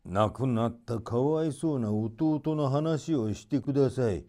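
A man voicing a tall old African sorcerer who talks slowly and coaxingly, feigning kindness while scheming. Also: medium pitch, mellow, close to an everyday voice. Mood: feigned grief.

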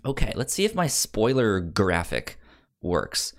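A man's voice: fairly low pitch, wildly swooping pitch, a little slow. A man hosting a podcast in casual chat, upbeat and enthusiastic, sometimes stammering over his words. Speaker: podcast host.

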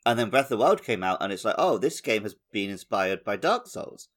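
A man's voice: fairly low pitch, big pitch swings, a little fast, a bit bright. A man talking casually as a co-host on a gaming podcast.

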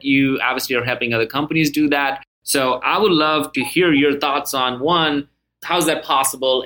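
A man's voice: medium pitch, bright, forceful tone, rough recording. A man hosting a podcast interview, chatty and enthusiastic, often restarting his sentences. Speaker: podcast host.

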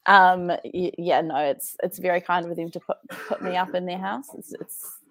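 A woman's voice: lowish voice, fairly even intonation, normal speed, no room sound. A young woman with a New Zealand accent, chatting as a podcast guest, often laughing and restarting her sentences. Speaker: podcast guest, CrossFit athlete. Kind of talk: casual interview chat.

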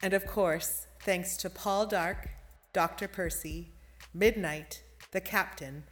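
Low-pitched woman reading podcast credits. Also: slow. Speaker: theatre company member presenting podcast credits. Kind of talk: scripted thanks and announcements.

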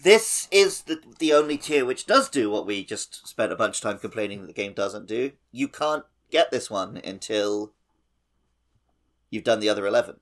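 Low-voiced man, casual podcast co-host. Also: lively intonation, moderate pace. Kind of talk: casual chat about a video game.

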